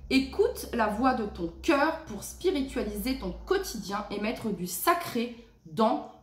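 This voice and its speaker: A woman giving a casual tarot reading at home, chatty and full of fillers, reading the card texts slowly and gently. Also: a little high, echoey room. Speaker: tarot reader. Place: her home. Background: cleanup noise outside.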